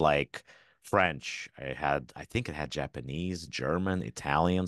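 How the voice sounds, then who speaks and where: A man's voice: rumbling low, a bit bright, no echo, clean studio sound. A man from Ukraine whose first language is Russian, explaining things at length as a podcast guest, often checking in with tag questions. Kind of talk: casual interview talk.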